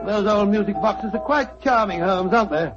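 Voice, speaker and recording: An actor playing a hearty, slightly bumbling doctor sidekick in a 1940s radio drama. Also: male voice, sits high, moderate pace, lo-fi sound, over music, natural voice. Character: bluff, eager doctor sidekick.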